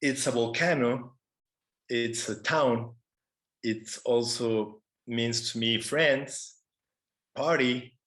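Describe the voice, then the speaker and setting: A man with a Mexican Spanish accent presents informally to an audience. His pitch is average and his pace is slow, with halting stretches as he looks for the next word. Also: a bit bright. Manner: halting, searching for words. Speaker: panelist, conservation photographer.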